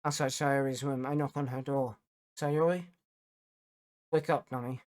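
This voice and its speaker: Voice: mid-range voice, steady tone, unhurried, a little mellow. A gamer doing let's play commentary and reading game text aloud, in a casual way with plenty of swearing. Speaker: gaming YouTuber. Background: video game music.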